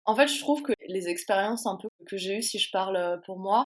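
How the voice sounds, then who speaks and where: Twenties woman from france, earnest reflective guest, mid pitch voice. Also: animated, fairly quick. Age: 20s. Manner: earnest, reflective, many fillers. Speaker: guest, student. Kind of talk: casual interview talk.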